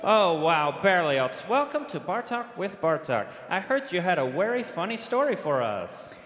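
A goofy dead Hungarian composer hosting a chat show, with a put-on Hungarian accent. The voice sits very high and swings widely. Long hall reverb with music behind. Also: male voice, sing-song, moderate pace, a bit bright. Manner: silly, playful talk-show host banter. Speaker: comedy sketch character. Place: large concert hall stage.

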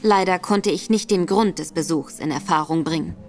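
An actress playing a confident, cunning female detective in a radio drama, speaking briskly. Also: fairly low pitch, darkish tone, faint music behind, natural voice. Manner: self-assured, brisk.

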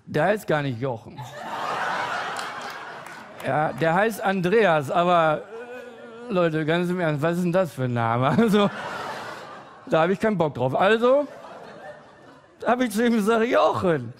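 A man in his forties doing a stand-up comedy routine with halting, hesitant delivery and deadpan timing, full of pauses and fillers. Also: sits high. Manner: deadpan, growing excited and loud toward the end.

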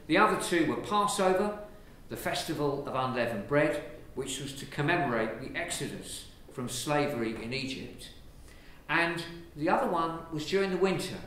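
An Englishman, a priest, giving a lively, conversational sermon from the pulpit of a large church, filmed from a distance. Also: on the high side. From England. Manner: lively, conversational preaching with gestures. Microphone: far camera. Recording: very echoey church from far off, faint hiss.

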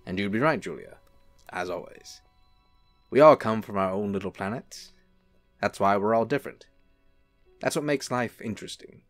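A man playing a suave, serene angel in a radio-style drama, reassuring and gently evasive. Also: fairly low pitch, animated, moderate pace, long pauses, no echo, faint music behind. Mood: serene, teasing. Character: suave, serene male angel posing as an assistant. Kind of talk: film-adaptation audio drama acting.

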